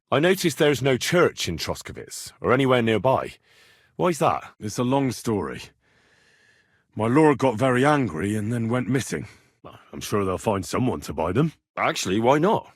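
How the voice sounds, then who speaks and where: A British actor playing an earnest, curious young blacksmith's son in a medieval video game, speaking in a plain, inquisitive and informal way. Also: male voice, medium pitch, slightly rough, no room sound, studio quality. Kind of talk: game dialogue lines.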